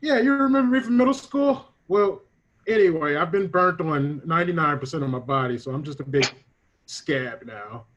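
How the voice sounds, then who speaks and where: A man's voice: on the high side, moderate pace, a bit bright. A man playing a pitiful, battered and meek sufferer in improvised radio comedy, recorded with a muffled sound. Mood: meek, friendly. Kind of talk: improvised radio-play parody.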